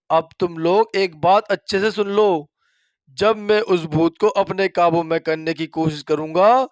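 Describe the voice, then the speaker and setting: A man's voice: high-pitched, no room sound. A man playing an old male village exorcist who chants and gives stern commands in a story. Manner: commanding, incantatory. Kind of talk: dramatized Hindi moral horror story.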